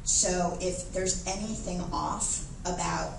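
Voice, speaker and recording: Woman consultant on a panel, low register, heard from a distance in a roomy, noisy space. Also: fairly even intonation, takes it slowly, vocal fry. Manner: candid, conversational, many restarts. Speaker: guest speaker, independent UX consultant. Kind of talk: moderated panel talk. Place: event room with audience. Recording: echoey room, distant mic, noisy.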